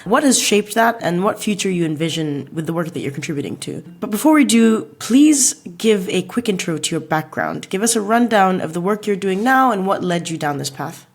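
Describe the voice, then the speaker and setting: A woman's voice: mid-range voice, animated, brisk, few pauses. A woman co-hosting a podcast, welcoming the guest and asking an opening question. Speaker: podcast co-host. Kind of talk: interview question.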